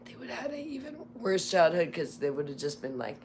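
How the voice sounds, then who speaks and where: A woman chatting casually on a livestream, hoarse from losing her voice, rambling with many fillers and restarts. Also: lowish voice, big pitch swings, fairly quick, darkish tone, slight background noise. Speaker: livestream host.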